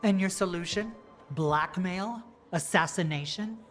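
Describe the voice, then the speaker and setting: A woman's voice: fairly low pitch, measured pace, close to an everyday voice. A woman as a composed, firm rebel stateswoman. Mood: resolute. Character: principled, firm female magistrate and rebel leader. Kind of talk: video game dialogue. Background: background music.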